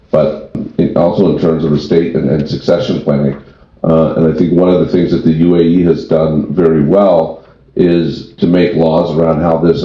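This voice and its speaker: A man speaking off the cuff as an expert panelist, explaining at length and often restarting his phrases. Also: rumbling low. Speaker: tax and wealth planning founder, panelist. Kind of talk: extemporaneous panel discussion. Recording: very echoey room, dull sound.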